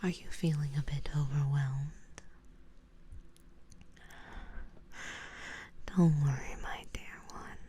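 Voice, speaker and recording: A woman playing a doting, possessive, genteel vampire in a whispered ASMR roleplay, speaking softly and coaxingly in a breathy whisper. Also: deep voice. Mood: teasing, soothing. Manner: soft, coaxing, genteel, indulgent.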